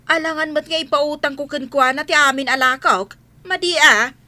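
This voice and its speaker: Bright, grudging village shopkeeper woman, acted. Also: sits high, normal speed, no echo. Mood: indignant. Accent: Ilocano. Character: reluctant, grumbling female village store owner. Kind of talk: Ilocano comedy radio drama acting.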